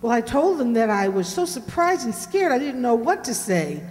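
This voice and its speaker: A woman's voice: a little high, very animated, moderate pace, a little mellow, slight background noise. A woman playing an earnest contestant telling a story on an old-time radio quiz show. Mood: earnest, recounting. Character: startled female contestant recounting a scare. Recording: reverberant hall.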